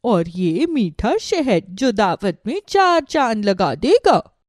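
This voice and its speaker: Woman playing a slow, friendly she-bear. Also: on the high side, very animated, a little mellow, dry recording, studio quality. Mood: sweet, friendly. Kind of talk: children's story cartoon dialogue.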